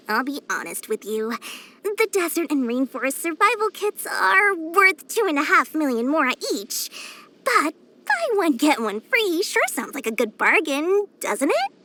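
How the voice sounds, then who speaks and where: A woman's voice: high voice, very animated, slow pace, a bit bright, full character voice. A woman voicing a small, greedy, boastful young female merchant in a video game, with smug, theatrical, wheedling sales patter. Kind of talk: video game character voice lines.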